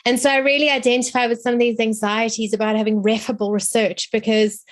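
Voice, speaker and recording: A woman with a South African accent, her voice a little hoarse and pitched rather high. She works through her question in an online seminar, thinking aloud with frequent restarts and small apologies. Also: level tone, fast. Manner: searching, restarts often, apologetic. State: hoarse, recovering from illness. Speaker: seminar audience member asking a question. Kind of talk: thoughtful academic question. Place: online seminar, video call.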